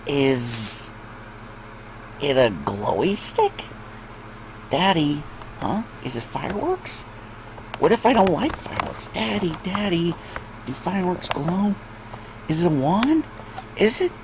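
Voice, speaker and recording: Man putting on a whiny high-pitched little filly. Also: very animated, takes it slowly, pauses often, a little hiss or hum, heavily acted, low-quality recording. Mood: excited, pestering. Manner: whiny, speaks of self in third person. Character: whiny, proud little filly, indignant and childish.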